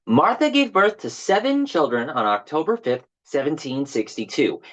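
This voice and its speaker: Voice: medium pitch, very animated, moderate pace, fairly bright tone, rough recording. An actor playing a bitter, just-fired male park tour guide in a dramatic monologue, chatty and passive-aggressive as he delivers a mock-informative tour speech. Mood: chipper, mock-informative.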